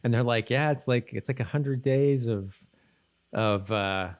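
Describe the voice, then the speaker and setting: A man co-hosting a podcast, chatting casually and haltingly, repeating words as he thinks aloud. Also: mid-range voice. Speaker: podcast co-host. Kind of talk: casual chat about a TV episode.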